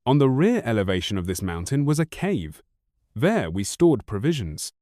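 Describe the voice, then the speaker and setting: Lively, wry male storyteller. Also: medium pitch, moderate pace, dry recording, clean studio sound. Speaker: audiobook narrator. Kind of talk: expressive storytelling narration.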